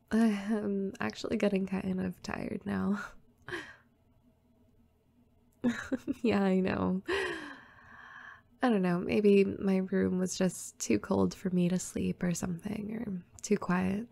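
A young girl next door, played by a woman, talks in an unhurried, casual way with plenty of vocal fry. She is soft-spoken, teasing and playful, as in an intimate bedtime roleplay audio. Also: mid-range voice, steady tone, full character voice. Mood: sleepy, soft.

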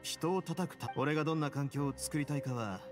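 Actor as composed, sardonic duke of a game prison, cool and assured, clear music underneath. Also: male voice, a little high, brisk, lightly acted. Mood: wry, assured. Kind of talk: Japanese game voice acting.

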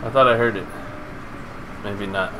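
A man's voice: fairly low pitch, steady tone, a little slow, fairly bright tone. A man in his thirties chatting casually and informally with viewers while livestreaming a video game from home, swearing now and then. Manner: informal, profane, talking to chat. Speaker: live game streamer. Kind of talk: casual livestream gaming chat and commentary. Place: indoors at a home streaming setup. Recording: noisy stream with clear background noise.

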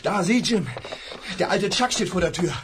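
A man's voice: high voice, expressive, normal speed, noisy background. An actor playing an earnest young male prisoner in a 1970s radio drama, pleading and urgent.

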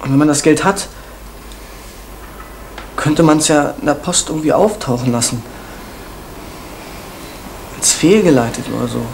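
A man's voice: a little high, animated, a little slow, gravelly, long pauses, a little hiss or hum. An actor playing a troubled young man who talks in an agitated, rebellious way in an old radio drama. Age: young adult. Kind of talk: 1970s-80s radio drama acting.

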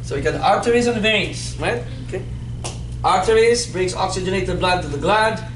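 Man, 50s, anatomy instructor, animated lecture, echoey room, background noise. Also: sits high, moderate pace. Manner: animated, playful, asks the class questions. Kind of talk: classroom lecture with call-and-response. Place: classroom in front of a whiteboard. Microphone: far camera.

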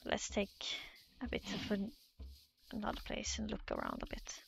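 A woman's voice: medium pitch, wildly swooping pitch, normal speed, vocal fry. A Norwegian woman with a Norwegian accent, hesitantly commentating her own Minecraft play in a casual, nervous way. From Norway. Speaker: Minecraft let's player.

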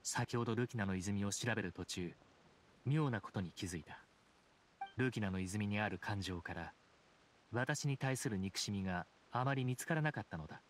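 An actor playing a dignified, aloof judge in a game, speaking in a formal, measured, reserved way. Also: male voice, lowish voice, a little hiss or hum, natural voice. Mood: grave, measured. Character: solemn, dignified supreme judge, an aloof grown man. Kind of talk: Japanese game voice acting.

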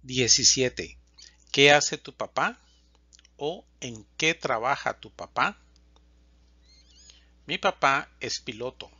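A man teaching a Spanish lesson, reading example questions and answers slowly and distinctly. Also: mid-range voice, expressive, pushed and bright, husky, dry recording, lo-fi sound. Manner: reads questions and answers slowly and distinctly, pausing between lines. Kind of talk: slow, clear language lesson reading example dialogues.

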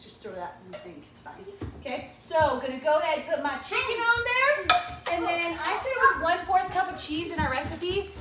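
A high-pitched woman chatters through a cooking demo in her home kitchen, upbeat and poking fun at herself as she goes. The sound comes from a camera set back across the room, so her voice bounces around the kitchen with a steady hiss of background noise underneath. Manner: chatty, self-mocking, upbeat, talks to viewers as "you guys". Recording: echoey kitchen, distant mic, background noise.

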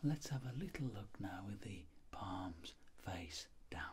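A middle-aged man speaking in soft whispered ASMR, playing a clinic doctor giving gentle, unhurried instructions. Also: on the low side, big pitch swings, a little hiss or hum.

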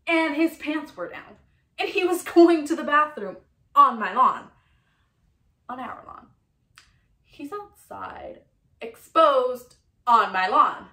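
A woman performing a comedic stage monologue as a frantic, indignant woman, telling her story in an animated, emotional way. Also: medium pitch, moderate pace, creaky, roomy sound, distant mic, rough recording. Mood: indignant, furious. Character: frantic, indignant woman recounting a furious outburst.

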